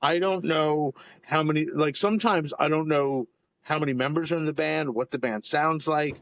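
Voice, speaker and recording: A man's voice: a little high, normal speed, a bit bright. Rambling talkative American man in his fifties. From Capital Region of New York. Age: 50s. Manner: rambling, filler-heavy storytelling with lots of laughs. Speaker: podcast host. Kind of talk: casual podcast chat.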